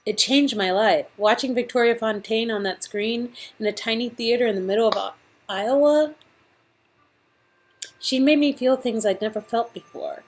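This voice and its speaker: A woman's voice: on the high side, moderate pace, natural voice. A woman reading the part of a starry-eyed, earnest young would-be actress in dialogue from a visual novel game.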